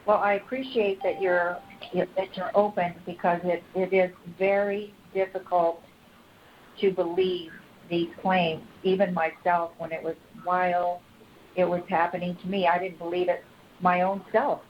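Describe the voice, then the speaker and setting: A woman calling in to a conference call, speaking earnestly and politely in a measured, careful way. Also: fairly low pitch, level tone. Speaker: caller. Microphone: telephone. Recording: dull, noisy phone conference line.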